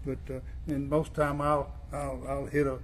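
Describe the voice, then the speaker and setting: A man's voice: medium pitch, monotone, normal speed, a little hiss or hum. An older man with a rural Tennessee Southern accent, a guest outdoorsman telling hunting advice and stories in a plain-spoken, folksy way. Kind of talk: casual storytelling chat.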